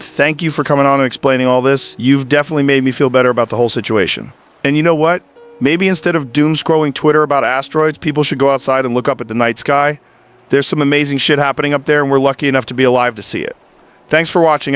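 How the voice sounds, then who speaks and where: A man's voice: medium pitch, smooth, natural voice. A man playing a laid-back, curious podcast host in an audio drama, talking in a chatty, musing and informal way. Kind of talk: casual podcast interview in a scripted audio drama.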